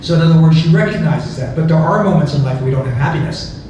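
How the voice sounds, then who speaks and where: A man's voice: a little high, quick pace, mellow, dull audio. A man presenting his book to an audience, talking conversationally with restarts and chuckling asides. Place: museum event hall. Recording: echoey hall from far off, noisy.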